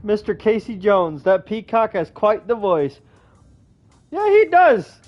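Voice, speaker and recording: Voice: mid-range voice, big pitch swings, takes it slowly. A game player giving casual, slangy, laid-back playthrough commentary on a lo-fi mic. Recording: dull lo-fi mic, faint music.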